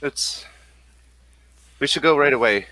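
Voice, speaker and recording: A man voicing a frightened, pleading runaway android woman in halting, repeated phrases for a tabletop game. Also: medium pitch, sharp tone. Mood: anxious, halting.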